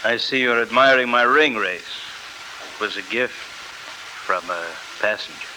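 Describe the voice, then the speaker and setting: An actor playing a suave smuggler villain in a 1940s radio drama, genteel and hesitant, politely threatening. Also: male voice, medium pitch, a little slow, sharp tone. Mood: polite menace. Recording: noisy old 1949 radio recording.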